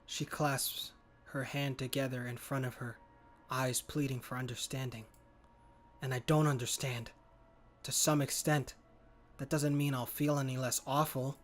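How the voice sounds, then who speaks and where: Flat-voiced man reading game narration. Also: mid-range voice, a little slow, soft background music. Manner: plain read-aloud, correcting his own slips. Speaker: gaming YouTuber. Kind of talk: reading visual novel narration aloud.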